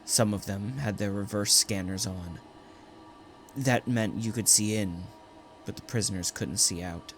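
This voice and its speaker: A haunted prisoner condemned to die tells his story, acted by a man. His low male voice moves slowly and theatrically, brooding and afraid, in the manner of dramatic audio fiction, with music clearly playing underneath. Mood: tense, grim. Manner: theatrical, anguished, swelling from hushed to urgent. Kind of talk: dramatic first-person audio drama narration.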